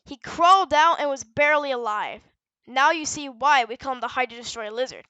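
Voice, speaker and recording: A woman's voice: high-pitched, a little fast, sharp tone, smooth, no room sound. A woman narrating a research log in a matter-of-fact, explanatory way, partly scripted and now and then correcting herself. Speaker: narrator presenting a fictional research audio log.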